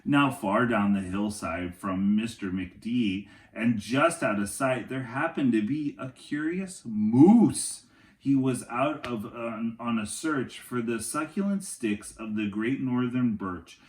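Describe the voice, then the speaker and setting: Animated man reading slowly to children, roomy sound. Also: mid-range voice. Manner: animated, dramatic storytelling for young children. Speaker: teacher reading a picture book aloud to children. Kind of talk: expressive children's read-aloud with chatty asides.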